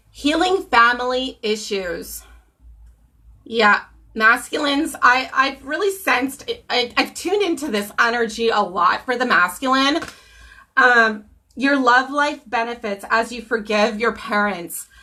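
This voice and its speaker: Hoarse woman clearing her throat. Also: on the high side, sharp tone. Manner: frequent throat clearing, many ums. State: hoarse from a cold, losing her voice. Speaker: tarot reader. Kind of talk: casual live-streamed tarot reading.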